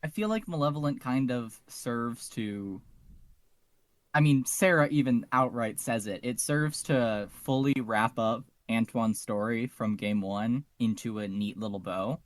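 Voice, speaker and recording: A man's voice: medium pitch, measured pace, no echo. A man co-hosting a podcast, casually discussing and summarizing fiction. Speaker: podcast co-host.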